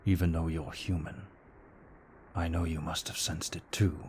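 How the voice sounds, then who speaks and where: A man playing a courteous, protective young vampire aristocrat in an ASMR audio roleplay, speaking formally in a hushed, measured way. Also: deep voice, little pitch movement, a little hiss or hum, natural voice. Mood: regretful, earnest. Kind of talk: intimate ASMR roleplay acting.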